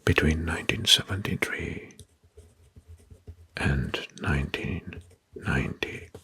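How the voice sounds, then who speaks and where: Male ASMR narrator: very slow, breathy whisper, deliberate delivery of history facts. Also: a bass voice, no room sound. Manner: slow, deliberate, often repeating names and phrases softly.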